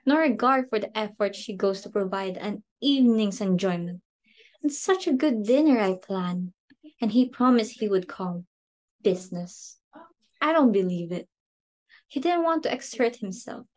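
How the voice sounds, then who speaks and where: A woman's voice: medium pitch, animated, normal speed, darkish tone, natural voice. A woman playing an imperious, short-tempered, status-obsessed society hostess and mother in an amateur play reading, with an indignant, theatrical delivery. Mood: furious, scolding.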